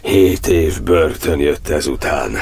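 A deep-voiced, anguished old cardinal. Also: male voice, darkish tone. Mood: sombre, remembering. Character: imprisoned Hungarian cardinal, old, suffering, brooding over his martyrdom. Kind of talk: theatrical verse monologue in an audio drama.

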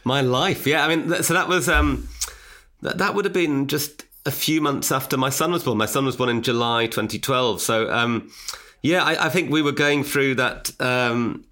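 A man's voice: medium pitch, normal speed, sharp tone. A man in his forties from Newcastle without a regional accent, chatting casually as a guest with self-deprecating humour and many restarts. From Newcastle, England. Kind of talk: casual podcast chat.

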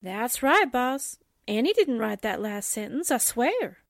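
An actress playing a wry, loyal secretary sidekick in a theatrical 1940s radio-drama style. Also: female voice, fairly high pitch, very animated, measured pace, no room sound, studio quality. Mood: earnest. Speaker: actor in an audio drama.

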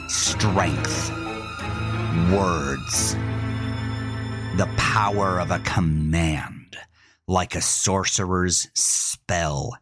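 A man narrating a first-person horror story for a fiction podcast in an intense, theatrical style. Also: fairly low pitch. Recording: music bed under the voice. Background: background music.

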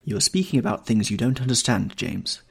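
A faded actress mother from a storybook, acted out by a man, vain and complaining. The voice is husky and lifted in pitch, with genteel, stagey airs and a stately manner. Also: animated, a little fast, full character voice. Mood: complacent, genteel. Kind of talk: audiobook character dialogue.

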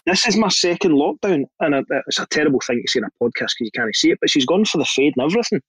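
A man's voice: a little high, lively intonation, rapid-fire, over a noisy crowd, low-quality recording. A middle-aged Scottish man, a podcast guest, telling stories in a dry, wry, casual way.

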